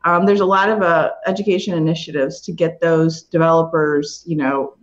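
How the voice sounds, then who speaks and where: A woman's voice: deep voice, fairly even intonation, normal speed, dull audio. A woman speaking as a guest in a casual interview, with an informal, conversational manner. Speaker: interview guest, community awareness team member.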